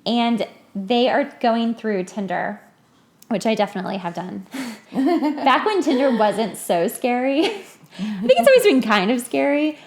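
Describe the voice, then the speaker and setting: Higher-voiced woman chatting as podcast host. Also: expressive, unhurried, slight background noise. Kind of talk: casual chat.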